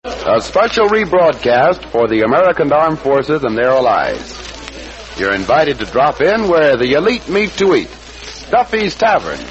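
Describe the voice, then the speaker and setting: Old timey radio announcer guy, lively, dull lo-fi recording. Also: a little high, unhurried.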